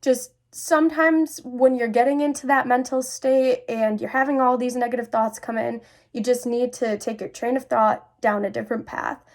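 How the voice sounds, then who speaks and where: A woman's voice: on the high side, steady tone, moderate pace. A young adult woman speaking earnestly and confidingly, as a host sharing personal experiences. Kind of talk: personal talk to camera about mental health.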